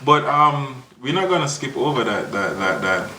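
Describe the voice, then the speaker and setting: A man hosts a loose late-night style chat, firing teasing questions and often stumbling into laughter. His voice is bright and forceful, but he sits a little back from the mic. The room is echoey, with a faint hiss behind him. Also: medium pitch, big pitch swings, normal speed, recorded from a distance. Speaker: talk show host. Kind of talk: casual interview chat.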